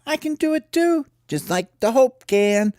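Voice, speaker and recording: A man voicing an excitable young male bird in a storybook tale, high and chirpy. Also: a little slow, dry recording, clean studio sound. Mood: boastful.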